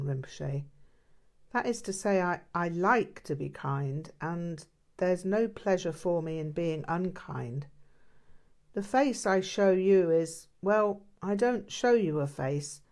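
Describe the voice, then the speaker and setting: A woman's voice: lowish voice, animated, slow, creaky, exaggerated voice. A woman voicing an earnest, deferential male disciple in an audiobook, hesitant and candid. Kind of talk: audiobook character dialogue.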